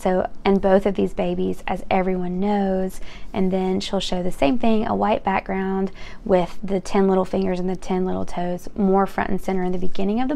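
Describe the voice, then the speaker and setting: A woman talks through a picture book in the manner of an encouraging teacher. Her voice sits at an average pitch and has a clear gravelly creak. She moves between explaining and reading aloud. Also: a little mellow. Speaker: host of a children's book read-aloud channel, explaining early reading.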